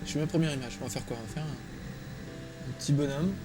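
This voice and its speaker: A man's voice: on the high side, brisk. Casual Frenchman muttering over music. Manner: informal, slangy, muttering to himself. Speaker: drawing tutorial presenter. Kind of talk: casual screen-recorded tutorial, thinking aloud while drawing. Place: small room at home, indoors. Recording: music playing behind the voice.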